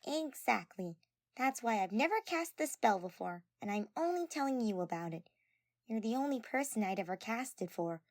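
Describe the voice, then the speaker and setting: A woman voicing a small, shy teenage girl mage in an audio story, low-key, hesitant and solemn about magic. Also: lively intonation, normal speed, dry recording, clean studio sound.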